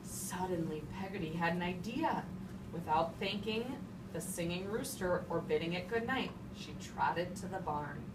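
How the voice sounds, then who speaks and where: A woman's voice: fairly low pitch, lively intonation, moderate pace. Female teacher, interactive storybook read-aloud, questioning manner. Roomy, noisy classroom sound, set back from mic. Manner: questioning, repeats children's answers back. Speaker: teacher reading aloud to young children. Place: classroom with children. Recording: roomy, distant, clearly noisy classroom recording.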